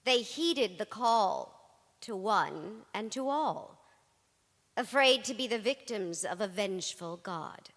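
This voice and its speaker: A woman performs dramatic spoken-word storytelling in measured, theatrical short phrases. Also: medium pitch, expressive, a bit bright. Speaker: cast member in a dramatic reading. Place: large synagogue hall. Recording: reverberant large hall.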